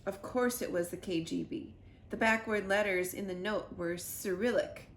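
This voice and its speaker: A woman narrating a children's storybook in an expressive read-aloud style. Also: mid-range voice, moderate pace. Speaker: storybook reader. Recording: echoey room with faint noise.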